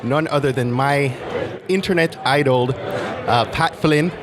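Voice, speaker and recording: A man hosting a podcast, telling personal stories and interviewing in an informal, excited manner. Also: medium pitch, unhurried, noisy background. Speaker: podcast host.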